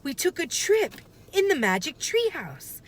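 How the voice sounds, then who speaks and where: A woman voicing a lively, confident and bossy young girl in a children's storybook, with emphatic, excitable delivery. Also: sits high, measured pace, a little hiss or hum, voice pushed up. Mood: matter-of-fact. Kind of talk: children's storybook read-aloud.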